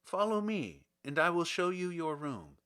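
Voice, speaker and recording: Storybook servant girl, played by a male reader: young, obliging and welcoming, pitched up, bright. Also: very animated, unhurried, clear, resonant tone. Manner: polite, obliging. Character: polite young green-haired palace maid, welcoming and helpful. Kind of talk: storybook character voice.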